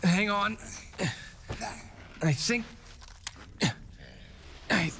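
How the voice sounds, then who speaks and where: A man's voice: high-pitched, extremely slow, bright, forceful tone, slight background noise, exaggerated voice. A man playing a self-doubting, curious fox adventurer in an audio drama, with a hesitant, wry delivery. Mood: curious, wary. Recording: music behind the voice.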